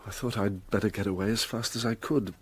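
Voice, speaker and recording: A man's voice: on the low side, steady tone, fast talker, slightly rough. An actor playing an earnest, guilt-ridden young man in love, in a radio drama. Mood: troubled, confiding.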